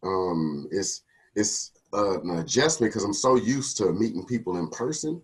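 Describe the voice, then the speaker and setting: A man hosts a casual interview over a video call. His voice is low and a little husky. He asks questions in a relaxed, friendly way. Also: measured pace. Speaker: host.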